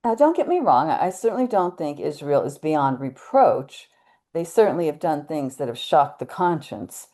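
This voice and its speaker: Actress as cautious churchgoing American woman, low mellow voice, swooping intonation, hesitant, tactful, readers theater. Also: sing-song, normal speed. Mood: worried, doubtful. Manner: hesitant, tactful, emphatic on doubts. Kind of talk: readers theater dialogue, conversational.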